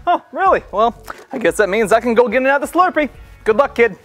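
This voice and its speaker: A man's voice: very high-pitched, big pitch swings, a little fast, fairly bright tone, soft background music, exaggerated voice. A man playing a smug grown-up who explains a lesson and teases a stuck kid.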